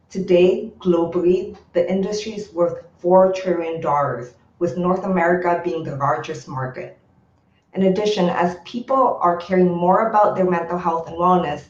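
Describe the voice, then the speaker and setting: A woman from Indonesia whose first language is Indonesian, giving a steady, well-rehearsed startup pitch over a video call. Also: reverberant, dull audio.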